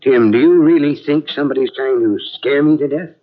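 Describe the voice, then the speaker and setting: An actor playing a stubborn, defiant older uncle confined to a cot, in 1940s radio acting style. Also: male voice, medium pitch, brisk, close to an everyday voice. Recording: dull lo-fi old radio tape.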